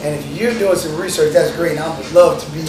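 High-voiced man co-hosting a podcast. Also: quick pace. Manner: easygoing, friendly, slangy. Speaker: podcast co-host. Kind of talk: casual panel discussion. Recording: echoey room, noisy, mic at a distance.

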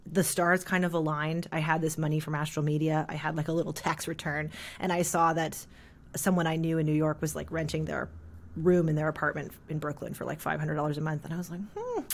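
A woman in her thirties from Canada, a jazz singer chatting as an interview guest with a thick, heavy voice, full of "like" and quick laughs. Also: low voice, level tone. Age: 30s. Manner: rambling, filler-heavy, laughs often. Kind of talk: casual interview chat.

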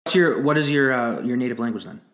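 A man's voice: medium pitch, animated, quick pace, fairly bright tone. Young male interviewer, echoey room sound. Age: 20s. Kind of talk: casual conversational interview.

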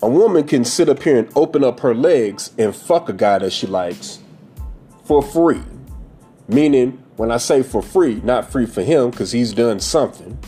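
Husky, blunt-talking man ranting about dating. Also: mid-range voice, mellow. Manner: blunt, profane, preachy storytelling. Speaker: podcast host. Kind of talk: casual monologue on dating.

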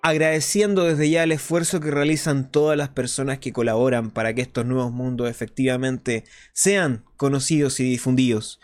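A man playing an earnest, explanatory host of a clandestine resistance radio show, in a scripted monologue with deliberate phrasing. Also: medium pitch, lightly acted. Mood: solemn, welcoming. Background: music behind the voice.

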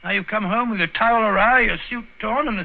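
An actor playing a fussy, easily bewildered, respectable gentleman sidekick with an English accent and an old-fashioned blustery manner, in 1950s British radio drama style. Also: sits high, quick pace, creaky. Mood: indignant. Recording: tinny, narrow-band vintage radio recording.

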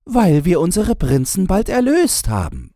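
A man's voice: sits high, huge pitch swings, measured pace, mellow, no echo, clean studio sound, pitched above the performer's own voice, exaggerated voice. A man voicing a confident, reassuring eldest princess in a fairy-tale audiobook. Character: confident, soothing eldest princess, young woman.

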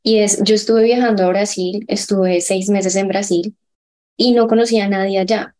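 Female podcast host, friendly, easy laugh, warm and mellow tone. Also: mid-range voice, fairly even intonation, moderate pace. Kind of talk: conversational interview.

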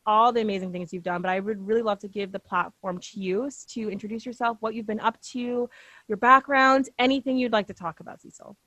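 A woman's voice: mid-range voice, fairly even intonation, brisk, pushed and bright, no echo, poor audio. A young woman hosting a podcast, talking in a bubbly, playful way with slangy asides. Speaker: podcast host. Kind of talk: casual podcast interview.

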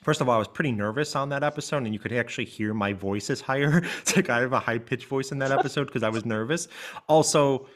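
A man's voice: mid-range voice, fast talker. A middle-aged man hosting a coaching podcast, talking in an earnest, reflective way and thinking aloud. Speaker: life coach and podcast host. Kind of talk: reflective interview conversation.

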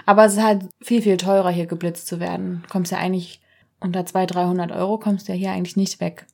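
A woman's voice: lowish voice, little pitch movement, quick pace, creaky, mellow. A young German woman hosting a podcast, chatty and teasing as she asks questions. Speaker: podcast host. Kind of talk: casual conversation.

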